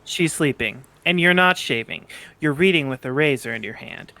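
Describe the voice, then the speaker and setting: Guy doing a chill ironic bird spirit dude for a fanfic read, kinda high sharp voice. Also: animated, normal speed, gravelly, no echo, heavily acted. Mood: smug, deadpan. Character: laid-back, wisecracking young male bird-like spirit guide. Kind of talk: amateur fan fiction voice acting.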